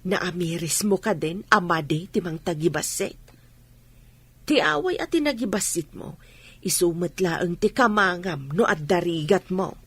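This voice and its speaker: A woman's voice: fairly low pitch, expressive, unhurried, fairly bright tone, husky, exaggerated voice. A woman with an Ilocano accent acting a reproachful, preachy village mother in a radio drama. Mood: admonishing. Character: scolding, moralizing village mother of a pregnant daughter.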